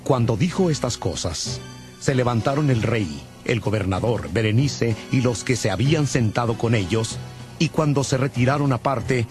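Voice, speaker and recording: A man narrating scripture as a scripted audio Bible, in a measured, solemn manner. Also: mid-range voice, lively intonation. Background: music.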